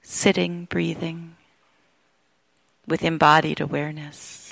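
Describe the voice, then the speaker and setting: A woman leads a guided meditation at a very slow pace, leaving long pauses between phrases. Her voice is medium in pitch with a noticeable creak, and the sound is close and dry. She gives each instruction gently, as a teacher would. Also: little pitch movement, dry recording, poor audio.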